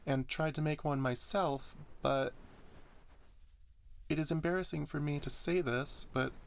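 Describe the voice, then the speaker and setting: Storybook ASMR role: chatty, courteous female service robot, young and earnest, pitched up performed by a man. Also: unhurried, creaky. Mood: apologetic, courteous. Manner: verbose, courteous, formal. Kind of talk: soft ASMR story reading.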